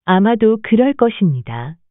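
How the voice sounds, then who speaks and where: A woman's voice: mid-range voice, big pitch swings, dry recording. A woman narrating a language lesson, reading short phrases and then their translations. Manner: reads each English phrase very slowly, Korean lines quickly. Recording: dry, phone-like narrow band.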